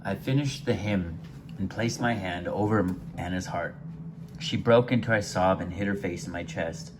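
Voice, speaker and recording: A man with a very low, deep voice reads his own short story in a soft, unhurried manner, stumbling now and then over a word. A steady hiss of background noise runs under his reading. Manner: unhurried, gentle storytelling read from a page with occasional stumbles. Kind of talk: first-person short story read aloud.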